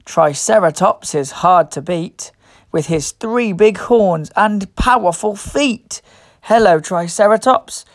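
A woman reading a picture book aloud to young children as host, expressive, playful and encouraging. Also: medium pitch, slow, no echo, clean studio sound. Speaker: children's storybook reader and host.

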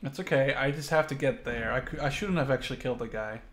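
A man's voice: medium pitch, fast, fairly bright tone. A man playing a video game and bantering casually with friends, swearing freely and given to exclaiming. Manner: profane, exclamatory.

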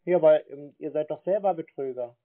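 A man's voice: a little high, a little fast, no echo. A man on a phone line talking in a stubborn, mock-naive, teasing way as he needles the other caller. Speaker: prank caller confronting phone scammers. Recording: narrow phone-line sound.

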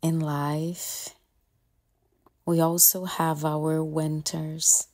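Female meditation guide: low, very flat pitch, calm and gentle, soothing instruction in short phrases with long pauses.

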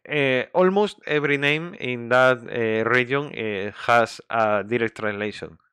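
Slow, halting man speaking English. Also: mid-range voice, fairly bright tone, clean tone, no echo, studio quality. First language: Spanish. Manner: halting, frequent restarts and fillers; laughs often. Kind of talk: casual group chat in English.

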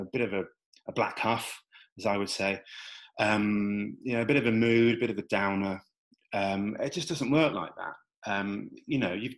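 A man's voice: on the low side, poor audio. Chatty man explaining nutrition slides. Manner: relaxed, many fillers and restarts. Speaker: nutrition coach. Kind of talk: talking over slides, casual explainer.

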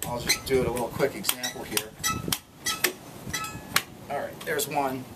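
A middle-aged man giving an unscripted do-it-yourself tutorial in a driveway, explaining in a relaxed way with many ums and uhs. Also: mid-range voice, moderate pace. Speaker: DIY instructor. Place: outdoor driveway in front of a garage. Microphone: camera mic from a few meters away. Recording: distant camera mic, audible background noise.

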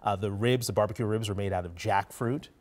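A man's voice: lowish voice, a little fast. A man presenting and chatting on a morning TV news show from a studio, upbeat and conversational, with a lapel mic. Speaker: news anchor and reporter.

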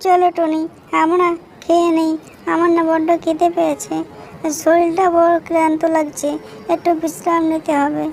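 A woman's voice: very high voice, level tone, moderate pace, slight background noise. A woman voicing a small, eager bird in a children's cartoon, exclaiming and repeating phrases. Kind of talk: children's cartoon story dialogue.

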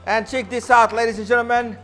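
A man's voice: very high-pitched, flat delivery, brisk, music in the background. A man in his thirties, a chef giving an enthusiastic cooking demonstration on a studio kitchen set, on a lapel mic. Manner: enthusiastic, non-native phrasing.

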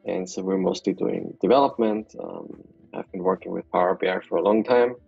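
Deep husky man from Austria on a call. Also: fairly quick, no room sound, lo-fi sound, faint music behind. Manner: relaxed, hesitant, many fillers. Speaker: guest, software developer. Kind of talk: casual video call interview. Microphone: video call.

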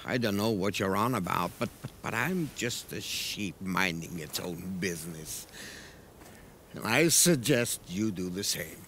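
An actor playing a grumpy, sarcastic male sheep who grumbles and complains in an animated film. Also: low voice, unhurried, a little hiss or hum, full character voice. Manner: sarcastic, grumbling, then panicked shouting.

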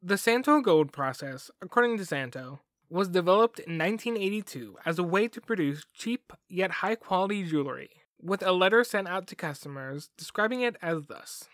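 Guy with a highish voice narrating a history podcast, kinda wry, real dry sound. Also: lively intonation, moderate pace, few pauses, a bit bright, no room sound. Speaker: podcast host. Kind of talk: scripted documentary narration.

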